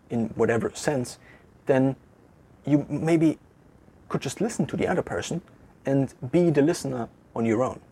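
A German-accented man talks alone to a camera in an empty room, working through his thoughts in a loose, conversational way. His voice is strongly husky, and he often stops mid-phrase to restart or correct himself. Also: mid-range voice, warm tone. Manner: thinking aloud, halting with restarts and self-corrections. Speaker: vlogger. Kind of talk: casual reflective monologue to camera.

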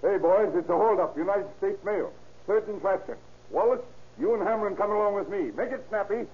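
An actor playing a shrewd, businesslike police captain with clipped speech in an old radio drama. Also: male voice, high-pitched, a little fast, natural voice. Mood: urgent. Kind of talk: 1930s-40s radio acting. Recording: muffled, noisy old radio recording.

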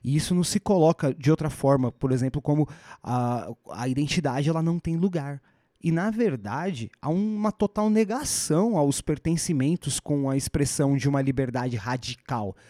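A man's voice: fairly high pitch, moderate pace, warm tone, dry recording, clean studio sound. A man with a Brazilian Portuguese accent hosting a podcast, explaining history in a thoughtful conversational way, often restarting his phrases and adding rhetorical asides. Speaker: podcast host.

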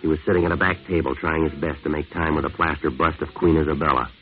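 A man playing a hard-boiled, wisecracking jazz-age antihero in an old radio noir, deadpan, clipped and sardonic. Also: very deep voice, quick pace, loud music behind the voice. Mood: wry, world-weary. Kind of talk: 1950s radio noir narration and acting. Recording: tinny, band-limited vintage radio recording.

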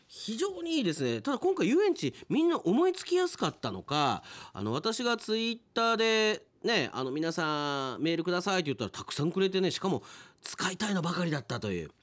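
A male radio announcer in relaxed after-show mode. His pitch moves around widely and expressively as he reads out mail and adds chatty remarks of his own. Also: brisk. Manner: chatty, commenting on the stories he reads. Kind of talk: casual podcast after-talk, reading listener mail.

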